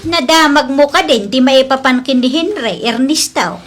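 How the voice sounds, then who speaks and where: A woman's voice: high voice, expressive, moderate pace, loud music behind the voice. A woman playing a gossipy, exclaiming wife in a comedy audio drama. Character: high-voiced gossiping wife.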